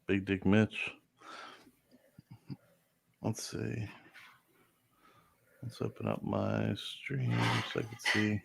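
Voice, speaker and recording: A man's voice: fairly low pitch, flat delivery, drawn-out words, vocal fry, pauses often, slightly rough. A man chatting casually on a livestream about a launch, rambling with lots of fillers and correcting himself. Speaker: launch commentary streamer.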